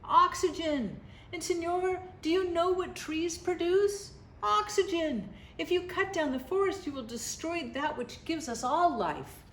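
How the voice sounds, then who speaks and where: Woman voicing excitable high-pitched little porcupine. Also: unhurried, echoey room, a little hiss or hum. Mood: urgent, excited. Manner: emphatic question and answer. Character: excitable little tree porcupines, urgent and pleading.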